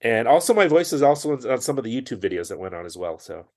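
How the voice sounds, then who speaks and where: A man with an ordinary mid-range voice chats as a podcast guest. He talks loosely, restarting sentences and padding them with fillers. He rushes through phrases in quick bursts. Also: medium pitch, very animated, darkish tone. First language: English. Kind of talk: casual conversational interview.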